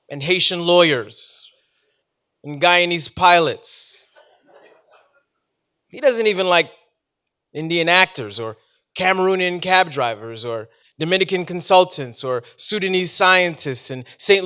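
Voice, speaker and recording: A man from New City, performing spoken-word poetry live in an animated, playful way and talking directly to his audience. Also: sits high, clear, resonant tone. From New York City, United States. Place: live event before an audience.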